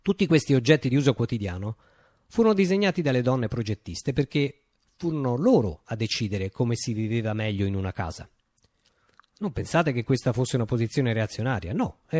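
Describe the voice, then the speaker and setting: An actor playing a visionary man recounting his utopian revolution in a declamatory, deliberate radio monologue. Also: mid-range voice, no echo, studio quality. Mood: measured, wry. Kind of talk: theatrical radio monologue, dramatic storytelling.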